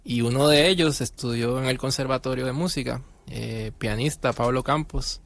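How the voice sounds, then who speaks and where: A man's voice: medium pitch, moderate pace, gravelly, dry recording. A young man from Rica, a music student politely telling his story in an interview. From Costa Rica. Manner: polite, earnest, steady telling. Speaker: music student, double bassist. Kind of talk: casual interview answer.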